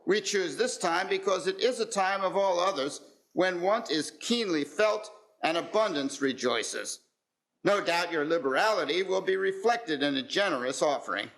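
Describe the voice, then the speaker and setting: A man playing a polite, earnest gentleman collecting for church charity in a radio play, formal and courteous. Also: sits high, animated, moderate pace, poor audio. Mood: courteous, hopeful. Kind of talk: community theatre radio play acting.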